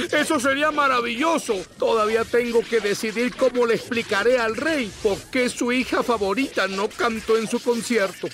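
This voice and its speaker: Very high, fussy cartoon crab. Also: male voice, moderate pace, few pauses. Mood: worried, bossy. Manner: theatrical, exasperated, bossy. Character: fussy, anxious, melodramatic male crab conductor. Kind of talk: animated video game voice acting.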